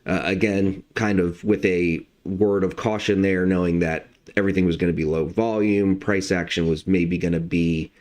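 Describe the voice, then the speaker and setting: Deep-voiced guy doing a chill morning market stream, a bit hoarse, lots of uh's and um's. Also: normal speed, few pauses. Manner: relaxed, lots of fillers like uh and um. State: slightly hoarse. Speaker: trading analyst host. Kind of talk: casual live-stream market commentary.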